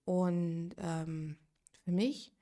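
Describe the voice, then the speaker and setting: A woman's voice: lowish voice, fairly even intonation, very slow, mellow. Chatty woman streamer in her thirties. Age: 30s. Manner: chatty, thinks aloud, reacts to chat. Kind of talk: casual live stream chat with viewers.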